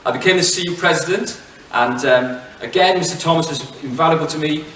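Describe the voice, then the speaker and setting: A middle-aged Welsh man giving a relaxed public talk, chatty and self-mocking, with plenty of fillers and restarts. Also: fairly high pitch, brisk, slight background noise. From Wales. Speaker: head teacher giving a talk. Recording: echoey hall, mic from far off.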